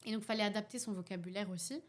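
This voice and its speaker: Young Belgian woman, halting and hesitant. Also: medium pitch. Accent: Belgian French. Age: young adult. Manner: hesitant, many fillers and restarts. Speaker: podcast participant, youth workshop facilitator. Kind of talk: casual podcast discussion.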